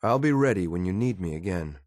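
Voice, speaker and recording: Casting: stern synth soldier companion in a video game. Lowish male voice, clipped military formality, cool loyalty, dry studio sound. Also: expressive, brisk, a little mellow, no echo. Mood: formal, measured.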